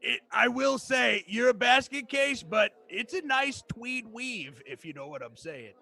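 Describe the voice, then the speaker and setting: A man's voice: extremely high pitch, lively intonation, unhurried, gravelly, pushed and bright. A man playing the class bully in an improvised comedy podcast, with a smug, teasing delivery.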